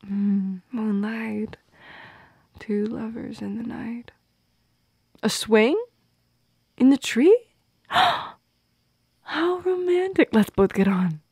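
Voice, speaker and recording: A young woman playing a shy, teasing teenage goth girl in an intimate ASMR roleplay, speaking softly and hesitantly, often slipping into a whisper. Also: fairly high pitch, expressive, dry recording, full character voice. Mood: delighted, romantic. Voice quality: soft and breathy, slipping into whispers. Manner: hesitant, teasing, halting with small laughs. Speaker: audio roleplay performer. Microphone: close microphone in a dry space.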